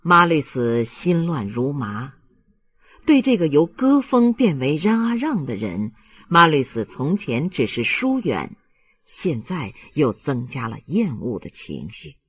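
A man's voice: on the high side, breathy edge, no echo. A man narrating a literary audiobook in a dramatic storytelling style.

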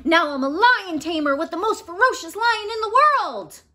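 A woman voicing an excitable, indignant little girl from a picture book, with emphatic exclamations. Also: extremely high pitch, moderate pace, pitched above the performer's own voice, exaggerated voice. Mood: excited, indignant.

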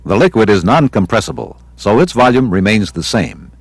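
Male American training film narrator, low and slightly husky voice, measured teaching delivery, dry studio sound. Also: expressive, dry recording. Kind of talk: scripted instructional narration. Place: studio voice-over.

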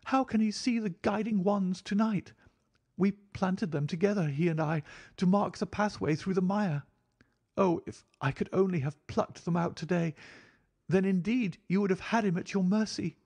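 A man's voice: high voice, normal speed, darkish tone, slightly rough, pitched above the performer's own voice. A man voicing an anguished, bitter Victorian wife in an audiobook, with impassioned, formal phrasing. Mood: fierce, bitter. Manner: impassioned, exclamatory, formal old-fashioned phrasing. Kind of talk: dramatic audiobook character acting.

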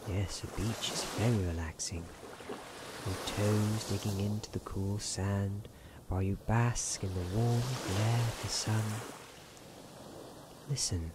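A performer voicing a genial, theatrical narrator in a soft-spoken ASMR roleplay, speaking gently and formally with deliberate pauses. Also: low-pitched, steady tone, heavy background noise. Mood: soothing. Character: genial, theatrical storybook narrator guiding someone to relax.

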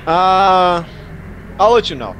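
This American man hosts a relaxed online podcast. His voice sits a little high and swings widely in pitch, with frequent fillers and quick laughs. A faint hiss runs under the call. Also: poor audio. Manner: chatty, laughs often, many fillers and restarts. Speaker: podcast host. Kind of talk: casual group chat over voice call.

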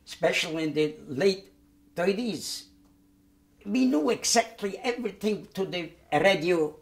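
A man, giving oral history testimony in a halting way, with many repeated words and restarts. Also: high-pitched, lively intonation, vocal fry. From Poland. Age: 90s. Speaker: Holocaust survivor giving testimony. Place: dark studio set, indoors.

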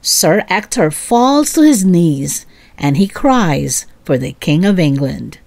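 A woman reading a children's story aloud as a storyteller, with deliberate, simple phrasing. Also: lowish voice, big pitch swings, gravelly, a little mellow, no room sound. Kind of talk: children's read-aloud narration.

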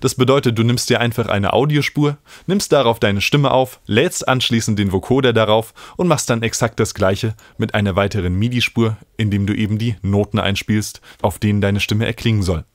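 Low-voiced man giving a software tutorial. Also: steady tone, a little fast, hardly any pauses, no room sound, clean studio sound. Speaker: music production tutorial host. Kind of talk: screen-recorded software tutorial.

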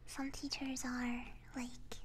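A woman whispering breathily into a close binaural microphone, chatting casually and haltingly with viewers in slangy, self-deprecating ASMR style. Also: fairly high pitch, little pitch movement, slight background noise. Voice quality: breathy, mostly unvoiced whisper. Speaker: ASMR livestreamer.

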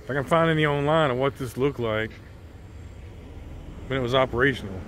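A man's voice: mid-range voice, brisk, fairly bright tone, a little hiss or hum. A middle-aged man giving casual, unscripted vlog commentary in a hesitant, rambling way. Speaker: vlog host.

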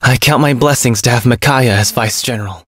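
A man voicing a courteous, reserved young warrior in a fantasy game, formal and measured. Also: mid-range voice, a bit bright, dry recording, studio quality, close to an everyday voice. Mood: reflective.

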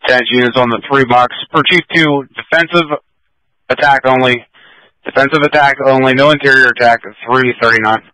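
A man's voice: mid-range voice, steady tone, normal speed, pushed and bright, dry recording, low-quality recording. An American man working as an emergency dispatcher, making formal announcements over two-way radio.

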